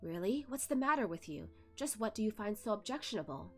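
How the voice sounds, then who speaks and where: A woman's voice: mid-range voice, very animated, fairly quick, a bit bright, soft background music. A woman voicing a stern, aloof young male immortal master who speaks in cold, clipped, scolding lines.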